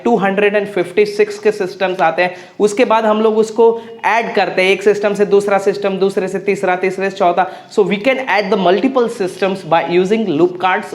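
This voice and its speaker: A man explaining as a technical instructor, repeating key phrases for clarity and mixing in English technical terms. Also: high voice. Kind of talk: practical tutorial explanation.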